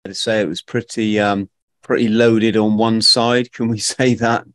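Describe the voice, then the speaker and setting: Male podcast host, middle-aged, lowish, jokey and relaxed, dry close studio mic. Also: a little slow, no echo. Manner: laughing, jokey asides, relaxed banter. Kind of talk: casual interview chat. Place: home studio. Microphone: studio mic with headphones.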